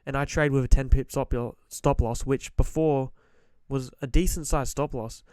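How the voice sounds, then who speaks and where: A man talks straight to the listener about trading mindset, blunt and emphatic, hammering the same points again and again. His voice is a little hoarse from a cold and he clears his throat often, but the tone underneath stays smooth. The sound is close and dry, with no room around it. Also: medium pitch, steady tone, normal speed, a little mellow, no echo. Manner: direct, emphatic, repeats key points. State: slightly hoarse from being sick. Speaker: forex trader giving advice. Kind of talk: motivational trading-psychology talk to camera.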